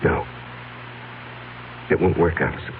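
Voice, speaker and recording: An actor playing an anguished ex-convict living under a false identity, with agitated, emphatic radio drama delivery. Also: male voice, measured pace, close to an everyday voice. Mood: desperate, grim. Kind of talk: 1970s radio drama acting. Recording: muffled narrow-band radio recording, faint hum.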